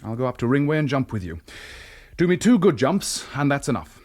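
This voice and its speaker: An actor playing a seasoned wartime resistance leader in a radio drama, firm and plain-spoken. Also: male voice, mid-range voice, big pitch swings, a little fast, a little mellow. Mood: firm, instructive.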